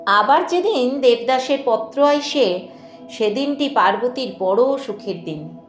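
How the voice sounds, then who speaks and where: A woman narrating a literary novel in a measured, expressive way with deliberate pauses. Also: on the high side. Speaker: audiobook narrator. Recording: echoey voice with music behind. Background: music.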